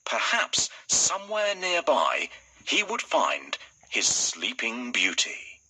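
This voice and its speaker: A man's voice: medium pitch, huge pitch swings, slow, bright, forceful tone, husky, poor audio. A man reading a fairy tale as a scripted storybook narration.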